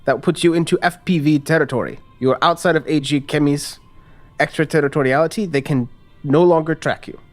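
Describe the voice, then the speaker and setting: A man with a fairly high-pitched voice acts as game master. He lays out a tabletop game briefing over soft background music. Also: a little fast.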